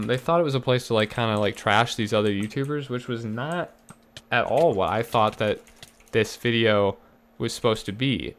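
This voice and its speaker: A young man talks into a desk mic in casual gameplay commentary, his voice a little raspy and his pitch sitting in the middle. He explains himself earnestly, with fillers and restarts, and keyboard typing ticks faintly behind him. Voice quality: slightly raspy. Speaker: gaming YouTuber.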